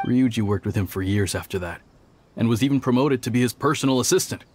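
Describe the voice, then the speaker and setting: An actor playing an older police officer in a video game, measured and sceptical as he recounts and admonishes. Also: mid-range voice, a little mellow, natural voice. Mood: reflective. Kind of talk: video game voice acting, storytelling dialogue.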